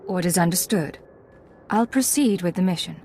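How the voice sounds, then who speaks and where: A woman's voice: lowish voice, normal speed, darkish tone, slight background noise, natural voice. A woman playing a cool female secret agent in a video game, terse and controlled. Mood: cool, focused. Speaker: game character voice actor. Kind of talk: video game dialogue acting.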